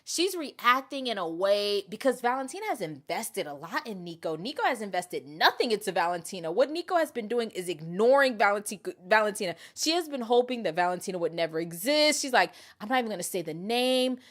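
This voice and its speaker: Female commentary host, mid-range voice. Informal and opinionated, emphatic, animated when retelling what others said. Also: mid-range voice, a little fast, hardly any pauses. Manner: animated retelling, acting out quotes; emphatic, repeats points for emphasis. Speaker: host of an MMA commentary channel. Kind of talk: casual opinionated commentary to viewers.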